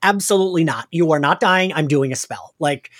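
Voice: medium pitch, a little fast. A podcast host talking casually and conversationally, peppering sentences with "like". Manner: conversational, heavy use of "like".

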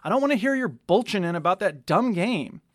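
A man reading a story aloud and voicing an impatient, sharp-tongued woman ship captain in a raised, snappy voice. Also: a little high, moderate pace, no room sound, studio quality. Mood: irritated.

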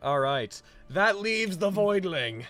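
A man narrating a tabletop game as game master, halting and conversational, with dramatic descriptions. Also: sits high, big pitch swings, fairly bright tone, soft background music.

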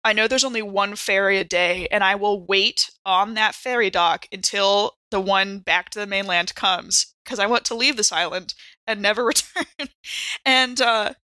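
A woman's voice: medium pitch, moderate pace, pushed and bright, dry recording. A woman player improvising casually in and out of character, with a wry, playful manner. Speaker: player in actual-play podcast.

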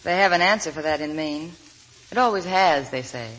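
A woman's voice: low-pitched, lively intonation, moderate pace, a bit bright. Knowing young woman, echoing old radio. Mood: wry, curious. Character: weary, perceptive young woman, gently teasing. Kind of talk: 1940s radio drama acting. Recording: echoing, hissy vintage radio broadcast.

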